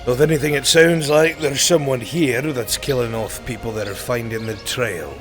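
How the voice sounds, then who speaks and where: A man playing a formal, blunt travelling government recruiter in an online roleplay, measured and faintly condescending. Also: mid-range voice, natural voice. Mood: assertive. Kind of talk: improvised roleplay acting in a virtual world. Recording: music behind.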